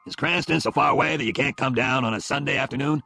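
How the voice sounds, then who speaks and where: A man voicing a blunt, gruff older father-in-law in an audiobook, scolding with affection. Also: mid-range voice, fast, pushed and bright, no echo, voice pushed up, full character voice.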